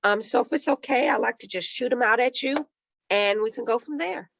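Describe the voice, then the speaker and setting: A hoarse woman who manages client relationships, reading out viewer questions in a friendly, conversational way. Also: fairly high pitch, a little fast, fairly bright tone, muffled recording, slight background noise.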